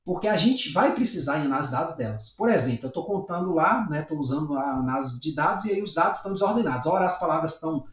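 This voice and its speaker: A man's voice: fairly high pitch, animated, quick pace, hardly any pauses, a bit bright. A man with a Brazilian Portuguese accent explaining programming in a casual coding tutorial, often checking in with tag questions. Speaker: programming teacher. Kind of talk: screen-recorded coding tutorial. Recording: echoey room.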